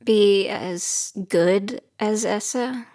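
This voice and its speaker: A woman's voice: medium pitch, very slow, a little mellow, no echo. A woman playing a determined young female trainee who tells her own story in an audio drama, in scripted first person. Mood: unsure, vulnerable. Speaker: narrator and lead character of an audio drama. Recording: studio voice over prominent music.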